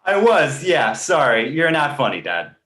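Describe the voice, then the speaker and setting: A man playing a precocious, sarcastic baby boy with a dry, mock-innocent way of needling, in an animated comedy table read. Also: mid-range voice, a little slow, slight background noise. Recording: echoey room.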